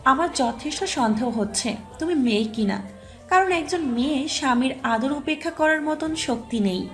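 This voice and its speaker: A woman's voice: sits high, lively intonation, normal speed, soft background music. A woman playing a bossy, sarcastic married neighbour who scolds and lectures in an audio story drama. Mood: angry warning. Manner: sarcastic, lecturing with sharp rhetorical questions.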